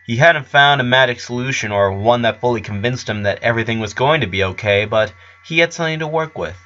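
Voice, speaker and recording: A man narrates a story in a bright, forceful voice at an average pitch. His reading is amateur storytelling, on a slightly dull recording. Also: fast.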